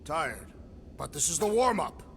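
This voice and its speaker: An actor playing an earnest, literal-minded alien warrior with formal, stilted diction in a video game. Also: male voice, on the high side, very animated, measured pace, creaky, a bit bright, in a large hall, a little hiss or hum, full character voice. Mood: earnest, proud.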